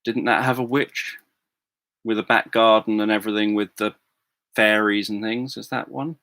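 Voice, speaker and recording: A middle-aged man chatting casually on a livestream, answering viewers in a soft, gentle way with many fillers and restarts. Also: fairly low pitch, fairly even intonation, moderate pace, dry recording. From England. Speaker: livestream host. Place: home room.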